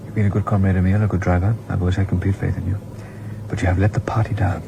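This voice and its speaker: An actor playing a stern, possessive uncle and party leader in an audio drama, formal, deliberate and accusatory. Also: male voice, low voice, little pitch movement, vocal fry, noisy background. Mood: cold, reproachful.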